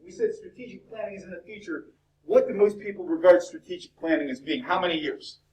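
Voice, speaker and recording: A male instructor lecturing a university class in a lively, chatty way, peppering students with questions, his voice cracking. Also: high voice, brisk, a little hiss or hum. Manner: talkative, upbeat, fires questions at the class. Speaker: business instructor. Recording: echoey classroom from far off.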